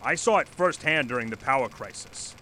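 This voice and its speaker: An actor in an audio drama playing an earnest, stubborn former manager who lectures formally and pleads insistently. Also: male voice, mid-range voice, lively intonation, fairly quick, bright, forceful tone, slight background noise, poor audio. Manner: formal, insistent, speech-making. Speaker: presenter at a company meeting. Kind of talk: audio drama acting, satirical dystopian comedy.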